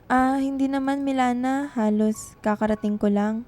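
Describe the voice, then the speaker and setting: An actress playing a tender, anxious young woman writer in a radio drama, soft and earnest in manner. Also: high voice, fairly even intonation, moderate pace, soft background music, natural voice. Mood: glum, uneasy.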